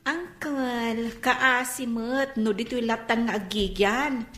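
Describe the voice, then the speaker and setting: An actress playing a kindly, persuasive young shop-owning cousin in a radio drama. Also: female voice, on the high side, slow pace, fairly bright tone. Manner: coaxing, pleading. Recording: echoey room sound.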